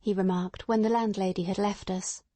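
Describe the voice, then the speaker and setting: A woman narrates a classic detective audiobook at an even, measured pace. Her pitch sits in the middle. The sound is dry and close to the mic. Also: no room sound.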